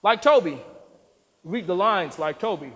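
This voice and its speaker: A man's voice: high voice, lively intonation, a little slow, a bit bright, heavily acted. A man playing a domineering, impatient director in a stage story, giving curt, insistent orders in a reverberant hall. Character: overbearing, impatient male acting director demanding obedience.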